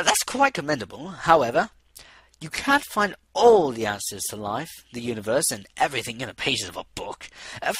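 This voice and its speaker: A man's voice: a little high, a bit bright, slightly rough, no room sound, voice pushed up, heavily acted, low-quality recording. A man voicing an aloof, erudite male pony doctor in a storybook, formal and wordy.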